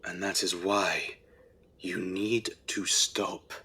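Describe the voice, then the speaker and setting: A man's voice: low-pitched, fairly even intonation, takes it slowly, bright, forceful tone, husky, exaggerated voice. A man playing a fond, worried Edwardian husband in a radio drama, with a British accent and a dry, fussing manner. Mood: resigned pleading.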